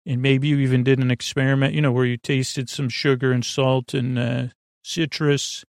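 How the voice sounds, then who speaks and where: A man hosting a sleep podcast with a creaky voice, rambling in a soothing, meandering, silly way and fumbling his words as he improvises. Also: medium pitch, flat delivery, normal speed, no echo, clean studio sound. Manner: soothing, lulling. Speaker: podcast host.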